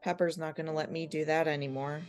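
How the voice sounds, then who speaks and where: A woman's voice: deep voice, little pitch movement, fast talker, faint music behind, lightly acted. A woman playing a young, troubled female mouse in an audio drama, earnest and plaintive. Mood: regretful.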